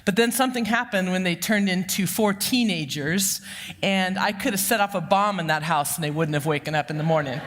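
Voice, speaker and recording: A woman in her fifties with a loud voice, giving a lively, humorous sermon full of personal stories. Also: fairly low pitch, fairly quick. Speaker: church counseling director giving the sermon. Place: church sanctuary. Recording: long reverb of a church hall.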